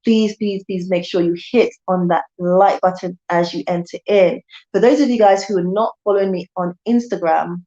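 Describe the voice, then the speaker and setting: A woman's voice: fairly low pitch, fairly even intonation, moderate pace, mellow, lo-fi sound. A woman from Jamaica, chatting casually with her viewers on a livestream, repeating herself and calling them guys. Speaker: channel host.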